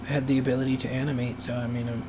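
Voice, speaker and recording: A man live-streaming as he animates, thinking aloud in a hesitant, rambling way, his voice gone raspy and dry from talking. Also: mid-range voice, little pitch movement. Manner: musing, hesitant, thinks aloud with many pauses. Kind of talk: rambling casual live-stream talk while working. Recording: noisy room hum behind voice.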